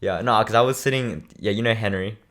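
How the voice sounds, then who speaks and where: Low-voiced young podcast host, late teens, chatty and eager. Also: male voice, fairly quick, fairly bright tone. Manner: chatty, eager, frequent affirmations. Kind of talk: casual chat.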